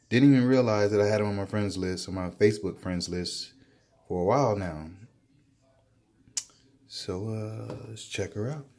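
Slow low-voiced man showing off his snake. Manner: excited, admiring, unhurried. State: sweating, a bit winded while handling. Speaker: reptile keeper vlogger. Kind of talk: casual handheld show-and-tell vlog. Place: indoors at home, by a table. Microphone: handheld phone camera, off-screen speaker.

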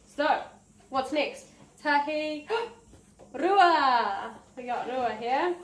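A woman in her thirties with a New Zealand accent, leading an interactive children's story time with animated, encouraging, drawn-out teacher talk. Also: high-pitched. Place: preschool room, indoors. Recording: roomy, distant mic, background noise.

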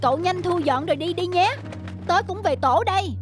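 A woman's voice: extremely high pitch, normal speed, soft background music. A woman voicing a well-meaning cartoon crow who speaks in an earnest, urging way. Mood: warning. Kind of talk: children's cartoon dubbing.

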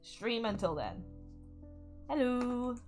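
A woman's voice: a little high, animated, takes it slowly, darkish tone, soft background music. A young woman streaming a game, chatting casually and bubbly with her viewers. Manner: bubbly, chatty, talks to chat. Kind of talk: casual gaming stream chat.

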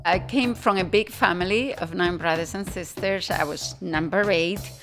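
An older woman with a Spanish accent tells her story in a relaxed, animated way. Her voice sits on the low side. A faint bed of music plays softly underneath her. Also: moderate pace. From Spain. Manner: animated, imitates drum beats with her mouth. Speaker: guest musician. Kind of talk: casual interview storytelling.

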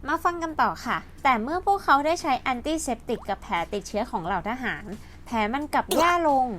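High-pitched woman narrating an educational video. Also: sing-song, brisk, fairly bright tone, faint music behind. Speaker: host and narrator.

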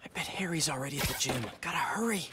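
A man plays a nerdy, earnest teenage boy in a video game. He mutters to himself in a hushed, lively, swooping voice while sneaking through his school, with loud game noise and clicks behind him. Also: on the high side, fast talker, close to an everyday voice. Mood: furtive, focused. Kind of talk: video game character dialogue.

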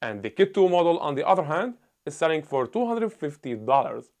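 A man in his thirties talks loudly and emphatically through a scripted review of camera gear. His voice sits a little higher than most men's. It is picked up by a clip-on lapel mic on a small indoor set. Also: very animated, brisk. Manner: loud, emphatic delivery.

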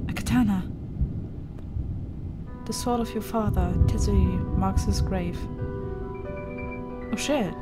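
A woman's voice: medium pitch, slow pace, long pauses. A woman doing casual, exasperated, sweary let's play commentary and reading on-screen text aloud. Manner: sweary, exasperated banter with the game. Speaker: gaming video host. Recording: loud game music behind. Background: game music.

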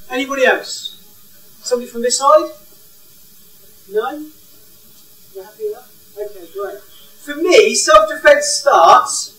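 A man in his thirties speaks with a very high, bright voice. He paces and gestures, asking the audience questions. He is recorded without a mic, from a distance, in a roomy hall. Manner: energetic, walks around gesturing, asks the crowd questions. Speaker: head of school leading an icebreaker. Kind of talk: interactive talk without a microphone. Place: large indoor hall. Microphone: no mic, far camera.

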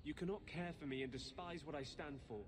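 An actor playing an intense, secretive rebel mage in a video game, speaking in a grave, earnest, insistent way. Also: male voice, a little high, moderate pace, noisy background, lightly acted. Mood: insistent, fervent. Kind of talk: dramatic video game acting. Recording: game audio with clear background ambience.

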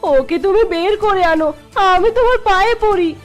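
Casting: woman as anxious cartoon village wife, extremely high voice, plaintive delivery, music underneath. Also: moderate pace. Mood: anxious, pleading. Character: distraught, devoted village wife fretting over her missing husband. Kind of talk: Bengali fairy tale cartoon dubbing.

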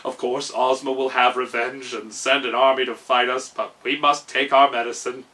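A man's voice: fairly high pitch, pushed and bright, recorded from a distance. A man voicing a blustering, cowardly gnome king from a storybook, theatrical and stammering. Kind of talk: storybook character voice.

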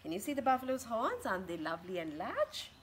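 A woman in her thirties reading a picture book aloud to children in an expressive, questioning way, outdoors. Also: a little high, fast, slight background noise. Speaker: children's story reader and teacher. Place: outdoors on a home patio.

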